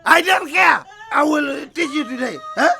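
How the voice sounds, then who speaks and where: An actor in a radio drama playing an angry, boastful, blustering village husband who stammers when worked up. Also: male voice, very high voice, expressive, normal speed, creaky, bright, forceful tone, shouting, faint music behind, full character voice. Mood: furious, threatening.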